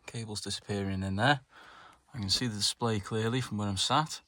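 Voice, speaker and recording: A man with a low-pitched voice presents a hands-on DIY job. He talks informally, thinking aloud as he goes, in the casual style of a home mechanic explaining each step. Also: a little fast, bright, forceful tone. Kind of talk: casual hands-on how-to narration.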